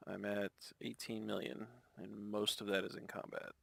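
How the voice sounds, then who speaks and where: Gravelly low-voiced man in his thirties. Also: little pitch movement, a bit bright, no echo. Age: 30s. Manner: measured, explains game tactics in detail. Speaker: gamer in voice chat. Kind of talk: casual voice chat.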